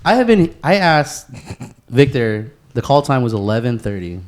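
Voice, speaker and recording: A man's voice: mid-range voice, very animated, moderate pace. A man hosting a podcast with loose, slangy, profane banter and a mock-exasperated way of talking. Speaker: podcast host.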